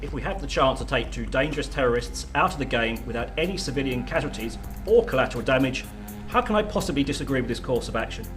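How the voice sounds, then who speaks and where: A man's voice: medium pitch, lively intonation, fast, close to an everyday voice. A man acting a confident, hawkish general in a radio drama. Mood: assured. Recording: loud music over the voice.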